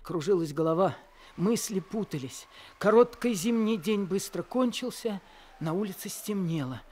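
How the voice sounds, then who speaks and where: A man playing a feverish, exhausted young man who narrates his story in a radio play, earnest and quiet. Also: sits high, expressive, moderate pace. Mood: weary. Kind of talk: radio drama narration and dialogue.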